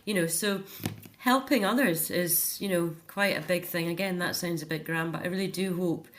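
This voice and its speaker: A woman's voice: lowish voice, normal speed. A middle-aged woman speaking earnestly and emphatically as a guest in a reflective personal interview.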